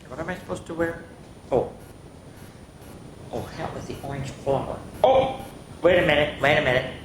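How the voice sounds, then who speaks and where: A woman hosting a storytime, reading picture books aloud for young children and adding chatty asides. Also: sits low. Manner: slow, deliberate delivery with chatty asides to listeners. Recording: echoey room with faint background noise.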